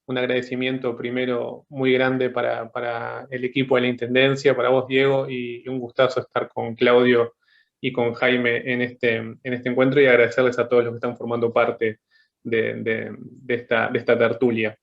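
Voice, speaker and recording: A man, an artist speaking on a panel over a video call, thoughtful and halting as he searches for words. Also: mid-range voice, completely flat delivery, gravelly, highs cut off. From Uruguay. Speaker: artist guest. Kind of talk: panel discussion.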